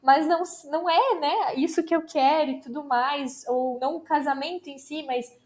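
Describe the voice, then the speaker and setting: A woman hosting a casual podcast chat, lively and chatty. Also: sits high, normal speed, soft background music. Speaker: podcast host.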